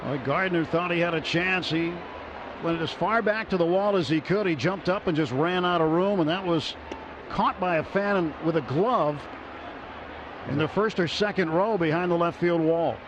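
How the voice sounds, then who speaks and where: High-voiced American man calling baseball on radio. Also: normal speed. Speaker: baseball play-by-play announcer. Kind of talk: live radio sports commentary. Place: stadium broadcast booth. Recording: dull radio broadcast, loud stadium crowd, noisy. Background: loud crowd and stadium noise.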